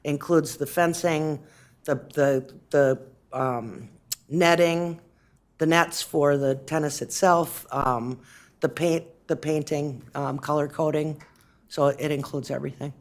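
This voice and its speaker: A woman on city staff answering council questions at a meeting, speaking with frequent pauses and restarts. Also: low voice, fairly even intonation. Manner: hesitant, many fillers and restarts. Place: council chamber.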